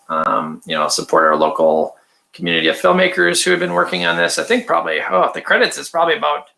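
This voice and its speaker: A man with a raspy voice, hosting a casual livestream, chatty and rambling with frequent ums and throat clearing. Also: a little high, pushed and bright. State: raspy from allergies or stress. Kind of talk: casual livestream chat reading viewer comments.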